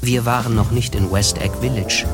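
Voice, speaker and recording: An actor playing a sceptical, observant narrator in a radio drama, recalling events in a literary, reflective and dryly ironic way. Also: male voice, medium pitch, fairly even intonation, moderate pace, lightly acted. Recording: studio radio play with music underneath.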